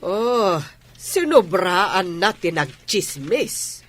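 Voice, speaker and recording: A woman's voice: fairly low pitch, very animated, drawn-out words, heavily acted. A woman with an Ilocano accent playing a skeptical, questioning village woman in a radio drama.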